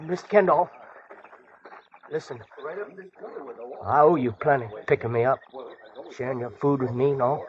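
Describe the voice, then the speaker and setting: An actor playing a naive young backwoods prospector in a radio western, guileless and excitable, with a rural American accent. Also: male voice, mid-range voice, normal speed, long pauses, close to an everyday voice. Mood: grateful, earnest. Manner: excitable, guileless, rustic grammar. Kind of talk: old-time radio western acting. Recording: dull vintage radio recording.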